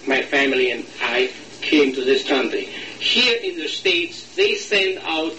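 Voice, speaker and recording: An older man from Latvia, speaking English with a Latvian accent, recalls his past in an oral history interview, pausing and searching for words. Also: mid-range voice, animated, roomy sound, recorded from a distance, noisy background. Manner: halting, searching for words, slight non-native grammar.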